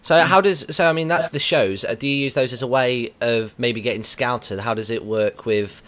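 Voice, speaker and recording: A man's voice: medium pitch, moderate pace, a bit bright. A British man hosting a casual radio interview, asking chatty, informal, rambling questions. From United Kingdom.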